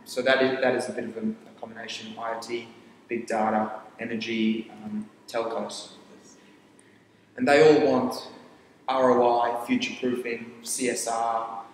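A young man talks loosely through his business in a roomy studio, the echo ringing out with a long reverb tail. He jumps between lists and restarts phrases as he goes, and a faint hiss sits under his mid-pitched voice. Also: little pitch movement. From Papua New Guinea. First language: Tok Pisin. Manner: rambling, restarts phrases, lists ideas rapidly. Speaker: startup founder. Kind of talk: casual interview talk. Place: studio set with armchairs. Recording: echoey room with long reverb.

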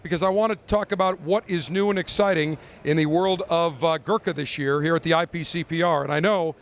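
A man's voice: on the high side, fairly even intonation. A middle-aged man hosting a live walkthrough of a trade show, joking and bantering brashly with guests into a handheld mic in a large convention hall. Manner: brash, joking, fast-talking showman. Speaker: radio show host touring a trade show. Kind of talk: live roving interviews and walkthrough. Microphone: handheld interview mic.

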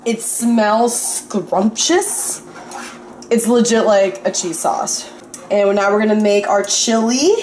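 A bubbly young woman talks through her cooking at home, excitable and a little dramatic. Her voice rings off the walls of a small echoey kitchen, with a faint hum of room noise behind her. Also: medium pitch, slow pace. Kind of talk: casual cooking vlog.

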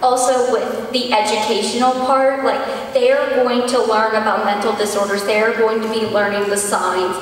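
A woman's voice: fairly high pitch, fairly even intonation, moderate pace, hardly any pauses. Teenage girl presenting in a very echoey hall. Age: teens. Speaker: student presenter. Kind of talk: presentation and audience Q&A. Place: large hall stage. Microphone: far camera. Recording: very echoey hall, distant, faint noise.

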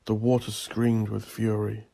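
A man narrating a horror story as an audiobook narrator, intense and theatrical, with a gravelly, husky voice. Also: on the low side, monotone, a little slow, background noise. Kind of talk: dramatic scripted horror narration.

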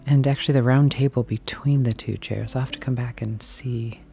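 Mellow-voiced woman browsing a thrift shop. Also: a bass voice, steady tone, normal speed, gravelly, soft background music. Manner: chatty, musing aloud, drifting into soft whispers. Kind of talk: casual walk-and-talk commentary. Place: large indoor antique and thrift shop. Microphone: handheld camera mic, filming as she walks.

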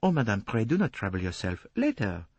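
A man's voice: lowish voice, huge pitch swings, fairly quick, darkish tone, slightly rough, no room sound, pitched above the performer's own voice, exaggerated voice. A man voicing a small, polite foreign male detective who speaks formally with French phrases.

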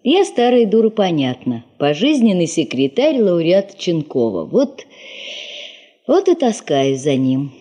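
An actress playing a weary, bitter middle-aged secretary in a 1970s Soviet radio drama, with an expressive, rueful manner. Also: female voice, lowish voice, measured pace. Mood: wry, reproachful. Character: weary, bitter older secretary, a bereaved mother in the north.

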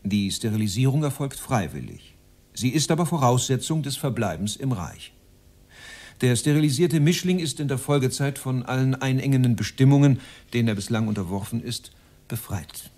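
An actor playing a cold, officious Nazi official chairing a conference in a radio drama, with a formal, clipped, enumerating delivery. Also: male voice, medium pitch, lively intonation, normal speed, a little mellow. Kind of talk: dramatized reading of a protocol.

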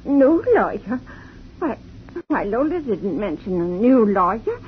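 A woman's voice: medium pitch, big pitch swings, a little slow. An actress playing an anxious, grieving woman in a 1950s radio drama, with a dull vintage sound and faint hiss. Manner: fretful and tearful.

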